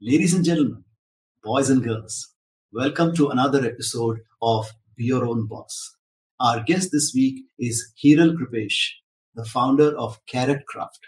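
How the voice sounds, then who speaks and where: A man's voice: mid-range voice, measured pace, far from the mic. A man hosting a business podcast, who opens with a showman's welcome and then asks questions in a conversational way. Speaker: podcast host. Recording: roomy, from the mic, faint noise.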